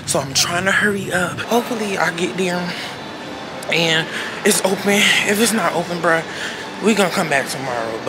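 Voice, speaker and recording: A young woman in her late teens vlogging casually to a handheld camera, excitable and full of slangy exclamations and squeals. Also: lowish voice, moderate pace, noisy background. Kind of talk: casual vlog chat to camera. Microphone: handheld camera close to face.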